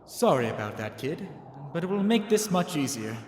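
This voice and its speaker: An actor playing a hesitant, conscience-stricken servant in an audio drama. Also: male voice, fairly high pitch, sing-song, moderate pace, very noisy. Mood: regretful. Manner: hesitant, gentle. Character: conflicted loyal servant with a conscience. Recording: echoey hall heard from far off.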